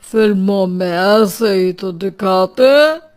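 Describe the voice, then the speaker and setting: A woman voicing a sceptical, level-headed young man who reasons with others in an animated story. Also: medium pitch, takes it slowly, faint music behind, close to an everyday voice. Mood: firm, reasoning.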